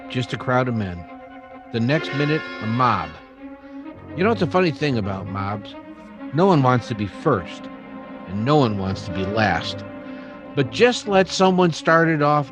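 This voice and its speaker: A man's voice: medium pitch, natural voice. A man acting an earnest townsman who tells and plays out a western radio drama with dramatic feeling. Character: earnest, reasonable townsman narrating a western tale.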